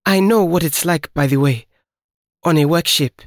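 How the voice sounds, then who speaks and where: A nervous young techsmith, stammering and eager, with a voice pitched well above the reader's own, as a male narrator puts him on. He sounds bright and lifted, in a dry, close recording. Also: moderate pace, no room sound, natural voice. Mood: sympathetic.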